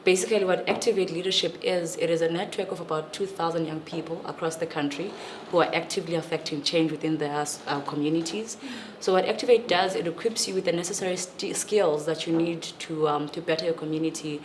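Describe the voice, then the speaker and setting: Low deep woman, 20s, explaining stuff clearly. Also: fairly even intonation, brisk, a little hiss or hum. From Eastern Cape, South Africa. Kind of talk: panel discussion.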